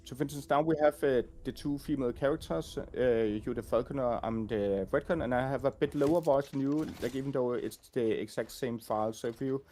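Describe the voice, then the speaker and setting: A man working as a game audio designer, talking casually and haltingly while showing a game. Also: medium pitch, mellow, a little hiss or hum. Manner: hesitant, many fillers and restarts.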